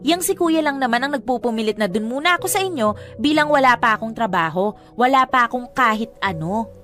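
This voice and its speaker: An actress playing a proud, defiant younger sister with a Filipino accent, talking back sarcastically in a radio drama. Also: female voice, a little high, lively intonation, a little fast, fairly bright tone, faint music behind. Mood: defensive, combative. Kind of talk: Tagalog radio drama acting.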